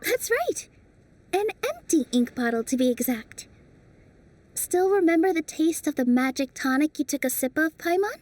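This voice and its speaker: A gentle earnest young dancer. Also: female voice, high voice, sing-song, normal speed. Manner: soft, earnest, encouraging. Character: gentle hopeful young female dancer-mage. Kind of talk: video game cutscene dubbing. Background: faint game music.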